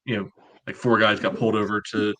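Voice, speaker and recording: A low-pitched man with a bright, forceful edge to his voice, analyzing soccer in casual conversation. His intonation stays fairly flat, and he moves haltingly through his points, with "you know" scattered all through. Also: slight background noise. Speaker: soccer podcast panelist. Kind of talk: casual sports analysis chat.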